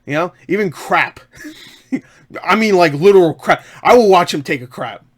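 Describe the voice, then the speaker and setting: Male online film reviewer, slightly high voice, enthusiastic, jokey, loose and rambling delivery. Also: lively intonation, normal speed, breathy edge. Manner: enthusiastic, jokey, self-interrupting asides. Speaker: online movie reviewer and host. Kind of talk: casual, rambling movie review to camera. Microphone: webcam setup.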